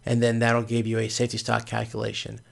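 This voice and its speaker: Male instructor, mid-pitched with noticeable vocal fry, informal explanatory delivery, halting and self-rephrasing. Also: completely flat delivery. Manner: hesitant, explanatory, often repeats and rephrases. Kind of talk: informal explanatory lecture, screen walkthrough.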